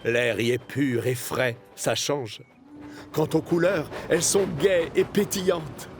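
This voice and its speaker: A man narrating a scripted children's story as an expressive storyteller. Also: a little high, slow pace. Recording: music under the voice.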